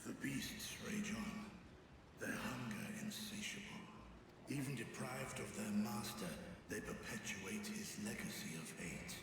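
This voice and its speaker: An actor playing a noble, sorrowful guardian warrior in a video game, solemn and deliberate. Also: male voice, on the low side, noisy background. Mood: mournful, grim. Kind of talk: dramatic video game voice acting. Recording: very echoey, loud music behind.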